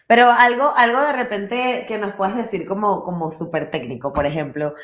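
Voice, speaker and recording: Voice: medium pitch, normal speed, a bit bright. Eager interviewer, lots of agreeing, roomy echo. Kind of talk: interview chat.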